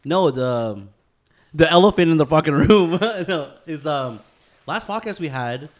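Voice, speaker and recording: A man's voice: fairly high pitch, animated, unhurried. Casual, slangy man on a podcast. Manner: slangy, profane, says "dude" and "bro" often. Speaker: podcast host. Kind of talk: casual banter with co-hosts.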